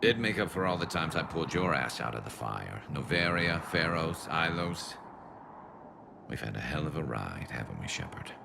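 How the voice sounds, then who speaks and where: A man voicing a cocky, wry alien soldier in a video game, gravelly, with dry teasing banter. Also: rumbling low, big pitch swings, normal speed, background noise, full character voice. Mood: wry, fond. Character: cocky, wry male Turian alien soldier and loyal friend.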